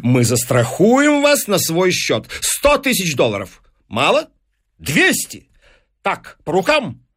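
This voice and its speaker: A man's voice: high-pitched, huge pitch swings, takes it slowly, a bit bright, voice pushed up, exaggerated voice. A man voicing a pushy, calculating newspaper businessman in an audiobook, with eager salesman's patter. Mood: excited, wheedling. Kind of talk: audiobook character dialogue.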